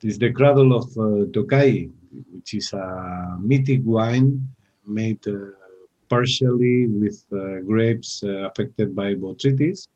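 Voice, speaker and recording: A man's voice: lowish voice, slow, mellow, highs cut off. A man from Spain with a Spanish accent, hosting a wine radio interview in English with many filler uhs. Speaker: radio show host.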